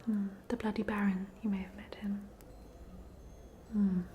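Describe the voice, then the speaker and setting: A woman's voice: mid-range voice, little pitch movement, a little slow, a little hiss or hum, close to an everyday voice. A woman playing a polite, chatty ghost of a drowned student in a whispered, hesitant ASMR roleplay. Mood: amiable, chatty. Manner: whispered, hesitant, gently rambling.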